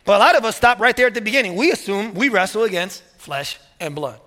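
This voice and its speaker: A male pastor preaching with energy, his voice high and bright as he presses each point and repeats key words. His sermon rings out with a long reverb in a large hall. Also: quick pace. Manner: energetic, repeats words, rising to emphatic peaks.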